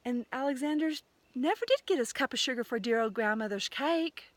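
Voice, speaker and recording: A woman telling a fairy tale in an animated, conversational storytelling manner. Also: high voice, a little fast, no room sound. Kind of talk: oral fairy tale retelling.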